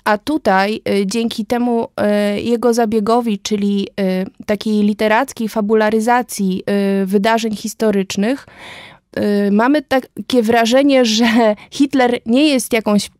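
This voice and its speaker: A woman's voice: on the high side, fairly even intonation, a little slow, a little mellow, no echo, studio quality. A woman in her thirties, a podcast guest, talks about a book in a thoughtful, hesitant way with many fillers. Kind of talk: casual conversation about a book.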